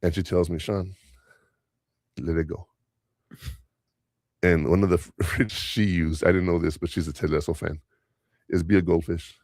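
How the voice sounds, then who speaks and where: A man's voice: low-pitched, steady tone, moderate pace, long pauses, darkish tone, no echo, studio quality. A man whose first language is Spanish, hosting a podcast in a casual, rambling way, telling stories from work and chuckling often. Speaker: podcast host and school teacher.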